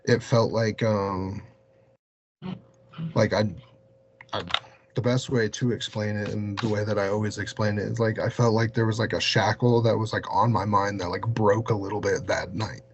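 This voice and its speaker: Halting man on a dull, humming mic. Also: on the low side, fairly even intonation. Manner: halting, rambling, heavy use of "like". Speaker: podcast interviewer. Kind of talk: casual chat. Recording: dull lo-fi mic with hum and hiss.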